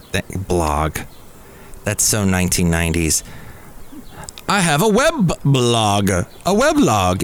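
A man's voice: mid-range voice, slight background noise. A man hosting a podcast in a casual, chatty solo style. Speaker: podcast host.

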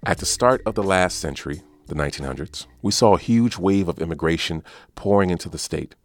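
A man hosting a community radio podcast, reading scripted narration in a measured, announcer-like way. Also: deep voice, soft background music. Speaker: podcast host.

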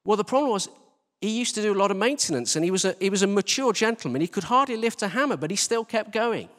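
A man's voice: high voice, lively intonation, fast talker, a bit bright. A British man giving an informal, conversational sermon as a church leader, asking rhetorical questions and repeating phrases for emphasis. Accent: British English. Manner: conversational, self-deprecating, with rhetorical questions and repetition. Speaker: church team leader preaching. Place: large reverberant indoor church space. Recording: live church hall, long reverberation.

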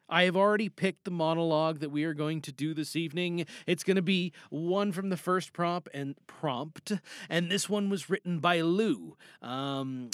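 A man's voice: a little high, a bit bright, dry recording, clean studio sound. A man hosting a podcast in a casual, chatty, playful way, often thinking aloud. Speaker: podcast host and voice performer.

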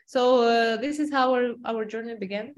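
A young adult woman from Kazakhstan with a Kazakh accent, telling a story in English, pausing often with "uh" as she searches for words. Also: on the high side. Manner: many "uh" fillers, searching for words. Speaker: interpreter and lawyer.

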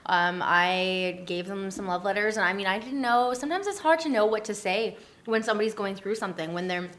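Woman, Canadian accent, medium pitch, casual interview guest, rambling storyteller, giggly, informal. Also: fairly quick, a bit bright. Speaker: interview guest, photographer running a letter-writing project. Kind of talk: casual chat.

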